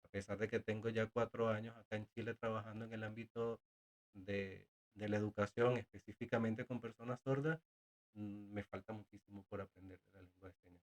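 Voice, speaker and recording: A man with a fairly low voice, talking as a guest in a relaxed conversation. He speaks earnestly and thoughtfully, often pausing and repeating words as he searches for them. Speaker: sign language interpreter and teacher of deaf students. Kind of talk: guest in a casual podcast conversation.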